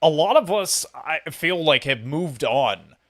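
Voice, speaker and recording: A man's voice: a little high, lively intonation, unhurried, a bit bright. A man hosting a casual, conversational podcast interview.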